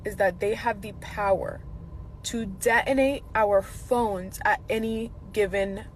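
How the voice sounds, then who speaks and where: A woman's voice: mid-range voice, steady tone, slow pace, a little hiss or hum. A woman delivering news commentary in a dramatic, emphatic way.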